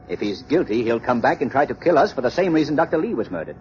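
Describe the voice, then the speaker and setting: An actor playing a shrewd, commanding gentleman sleuth in a 1930s radio drama, authoritative and dramatic. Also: medium pitch, very animated, fast talker, natural voice. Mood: resolute. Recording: muffled, noisy 1930s radio broadcast recording.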